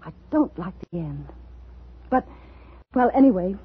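A woman's voice: on the low side, big pitch swings, unhurried, husky, full character voice. Brittle, intense woman, muffled old radio. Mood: uneasy, insistent. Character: brittle, intense woman scriptwriter, unsettled after an illness. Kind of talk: 1950s radio drama acting.

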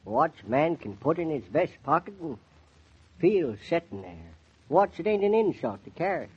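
An actor playing a crusty, folksy, thrifty old country man with a rural American accent and a husky, grumbling voice in a 1940s radio drama. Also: a little high, big pitch swings, heavily acted. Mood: wistful, satisfied. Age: older. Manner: folksy, grumbling, drawn-out musing. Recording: dull lo-fi 1940s radio recording.

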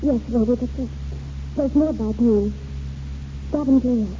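Female actor as caring teenage girl, gently firm, high voice, old-time radio drama, muffled noisy sound. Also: unhurried, close to an everyday voice. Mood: distressed. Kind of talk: 1940s radio acting.

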